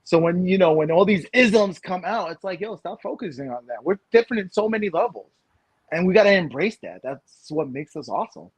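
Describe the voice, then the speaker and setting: A man in his thirties from the United States, co-hosting a casual podcast chat, animated and rambling with lots of fillers and restarts. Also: sits high, brisk, slightly rough, no echo. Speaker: podcast co-host.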